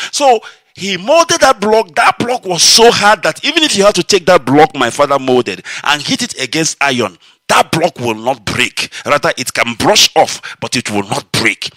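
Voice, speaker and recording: A man, an Igbo speaker at heart, telling a story from his childhood on a live broadcast. His voice jumps high whenever he gets excited and drops low when he turns reflective. The tone is bright and forward, recorded close and dry with no room around it. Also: no echo. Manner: animated, emotional, swinging high when excited. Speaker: radio broadcast host.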